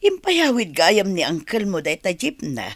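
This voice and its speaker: A woman acting in a radio drama as a caring older mother, with a drawn-out, motherly delivery. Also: medium pitch, wildly swooping pitch, no echo, exaggerated voice. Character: caring older mother, gentle, attentive to her grown son.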